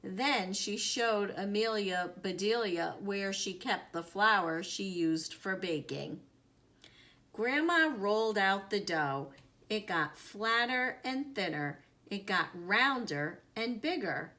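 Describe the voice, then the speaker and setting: A woman reads a children's story aloud at an unhurried pace. Her voice is bright and her pitch moves in lively swings, with deliberate, dramatic emphasis on key words. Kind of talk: expressive read-aloud narration.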